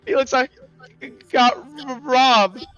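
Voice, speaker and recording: Game master as distraught, stammering robbed youngster, bright voice. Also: high voice, steady tone, takes it slowly, lo-fi sound, faint music behind, exaggerated voice. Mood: upset, disbelieving. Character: Kilroy, a robbed, tearful, naive youngster.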